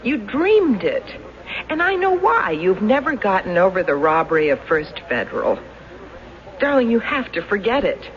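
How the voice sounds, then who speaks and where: An actress playing a practical, soothing and doubting wife in a radio mystery drama, gently chiding, on an old recording. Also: female voice, medium pitch, very animated, normal speed, muffled recording, natural voice. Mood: skeptical, firm.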